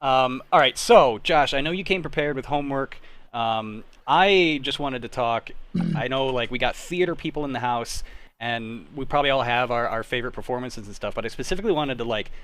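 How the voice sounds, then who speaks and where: A man's voice: medium pitch, brisk, a bit bright. A man around his thirties hosting a casual group chat in an informal, self-deprecating way. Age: 30s. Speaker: host. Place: online group call.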